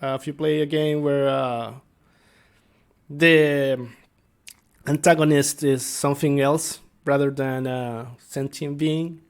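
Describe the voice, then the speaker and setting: Slow, halting man with a creaky voice. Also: fairly high pitch, fairly even intonation. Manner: halting, searching for words. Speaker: tabletop game master and video host. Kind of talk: casual unscripted explainer vlog.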